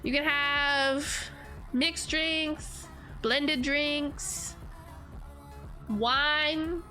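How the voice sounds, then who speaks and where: A woman's voice: sits high, fairly even intonation, drawn-out words, pushed and bright, faint music behind. A young woman streamer chatting casually with her viewers, stuffy and congested from allergies, giggly and rambling with lots of "like"s. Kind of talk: casual livestream chat reading comments.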